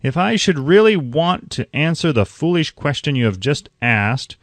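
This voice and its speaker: A man's voice: on the high side, big pitch swings, normal speed, dry recording, pitched above the performer's own voice. A man voicing a self-assured, exasperated industrialist in an audiobook, speaking pointedly and emphatically. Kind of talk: acted audiobook quotation.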